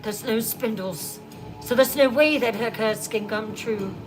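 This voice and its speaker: A woman's voice: a little high, a little slow, close to an everyday voice, low-quality recording. A woman voicing a remorseful queen mother who pleads with and reassures her daughter earnestly. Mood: reassuring. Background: music.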